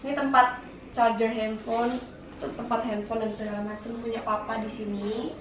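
A woman's voice: a little high, level tone, normal speed. A young woman, chatting informally and playfully as she moves around giving a casual house-tour vlog. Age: young adult. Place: indoors, rooms of a house. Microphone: handheld camera mic at some distance. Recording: echoey rooms, distant camera mic, noisy.

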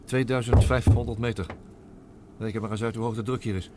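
An actor playing a confident, proud submarine inventor in a radio drama, with a terse, commanding manner. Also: male voice, on the low side, fairly even intonation, a little fast, lightly acted. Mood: calm, confident. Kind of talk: Dutch radio drama acting. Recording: noisy old radio drama recording.

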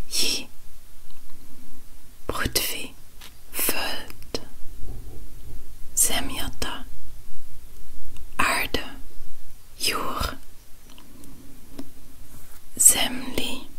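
A woman whispering softly for an ASMR video, saying each word twice in a slow, calm way. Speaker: ASMR creator.

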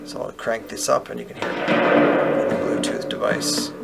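A man giving a relaxed, step-by-step product demonstration outdoors, explaining as he goes with casual fillers. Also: moderate pace, loud music behind the voice. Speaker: product reviewer. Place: outdoors on a paved patio.

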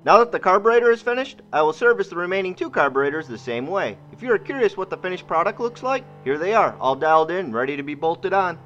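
A man's voice: high-pitched, animated, fast talker, few pauses, fairly bright tone, a little hiss or hum. A man giving scripted how-to voice-over narration as a DIY host, in a muffled recording. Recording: muffled, band-limited voice-over with music. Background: music.

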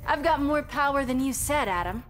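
An actress playing an earnest young superheroine in a video game, indignant and determined. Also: female voice, high-pitched, lively intonation, moderate pace, soft background music. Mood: defiant.

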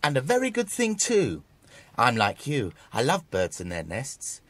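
Shifty British stranger, wildly swooping voice. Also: male voice, mid-range voice, wildly swooping pitch, unhurried, fairly bright tone, no echo, full character voice. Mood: affably curious. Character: shifty man posing as a friendly bird lover. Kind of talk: children's audio dramatisation acting.

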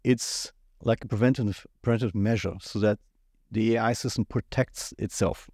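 A man in his forties speaks English with a German accent, in a lowish, easy voice. He talks loosely and casually, filling gaps with "uh" and "yeah". The close studio mic gives a dry, dead sound. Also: moderate pace, husky, no echo. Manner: relaxed, rambling with many fillers. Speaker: IT company owner, podcast co-host. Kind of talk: casual podcast chat. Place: small studio set. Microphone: studio mic on boom at the mouth.

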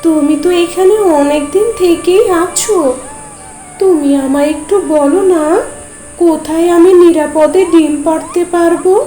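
A tiny, clever mother bird, as a woman voices her, speaks in a very high, sweet voice at a slow, careful pace. A thin wash of music plays behind it. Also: fairly even intonation, dark tone, echoey room, low-quality recording. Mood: pleading. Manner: sweet, pleading. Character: small clever mother tailorbird.

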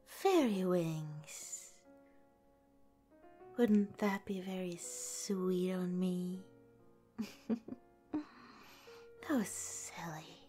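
A woman playing a gentle, teasing lamia girlfriend in an ASMR roleplay, speaking softly and breathily, often in a whisper. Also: fairly low pitch.

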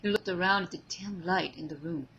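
A woman reading fan fiction aloud as an expressive story narrator. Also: on the low side, a little fast, dull audio, slight background noise.

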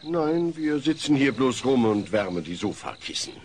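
A man's voice: mid-range voice, sing-song, normal speed, darkish tone, lightly acted. An actor playing a dry-witted, exasperated family father in a sitcom audio play. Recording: slightly noisy cassette transfer.

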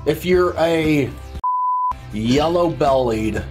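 A man's voice: fairly high pitch, expressive, very slow. A man giving a joking mock lecture on a group stream, crude and giggly. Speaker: streamer giving a joke slideshow talk. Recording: music playing behind.

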